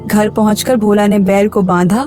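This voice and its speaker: A woman narrates a cartoon moral tale as a scripted storyteller. Her voice is mid-pitched. Background music is clearly heard under the narration. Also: steady tone, moderate pace.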